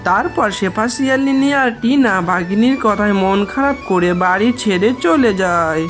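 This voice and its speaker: A woman's voice: mid-range voice, moderate pace, soft background music. A woman reading scripted fairy-tale narration for a cartoon.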